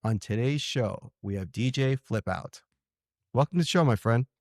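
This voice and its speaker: A man's voice: medium pitch, normal speed, a little mellow, dry recording, studio quality. A Canadian man hosting a podcast interview, friendly and appreciative in casual chat. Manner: friendly, appreciative, hesitant restarts. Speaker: podcast host.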